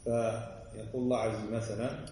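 A male religious teacher giving an explanatory lesson, often checking understanding with quick asides. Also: fairly low pitch, level tone, dark tone, a little hiss or hum. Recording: roomy, distant mic, faint ambience.

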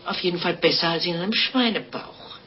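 An actress in a 1950s German radio drama playing a French innkeeper's wife who confesses murders in a calm, guileless, chatty way. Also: female voice, lowish voice, a little fast, natural voice. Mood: breezy, self-justifying. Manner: matter-of-fact, guileless, chatty. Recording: dull, noisy old radio recording.

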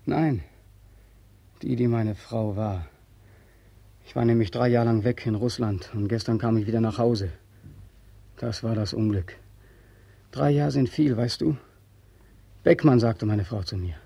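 Despairing young ex-soldier, husky mid-range voice, embittered and agitated, 1940s radio drama. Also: male voice, mid-range voice, measured pace, pauses often, mellow, no echo. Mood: bitter, despairing. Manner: agitated, repetitive, anguished.

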